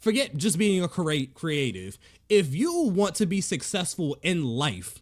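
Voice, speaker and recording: Man, rapper-producer, slangy and emphatic, pitch a touch high, motivational vlog delivery. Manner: slangy, emphatic, heavy use of "like" and "literally". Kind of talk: casual motivational vlog talk.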